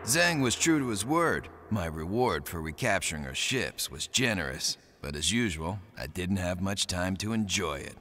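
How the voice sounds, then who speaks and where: A brash, animated young pirate captain. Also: male voice, low-pitched, normal speed, hardly any pauses, exaggerated voice. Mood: reflective. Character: cocky, vengeful young male pirate captain. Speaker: video game protagonist and narrator. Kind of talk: dramatic game cutscene acting and narration.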